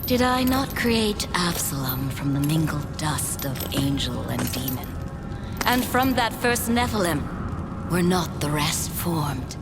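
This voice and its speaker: A scheming demon mother in a fantasy video game. Her voice is low and unhurried, silky and seductive, with a theatrical coaxing lilt over loud music. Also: big pitch swings, heavily acted. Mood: accusing, sly. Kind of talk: dramatic video game acting.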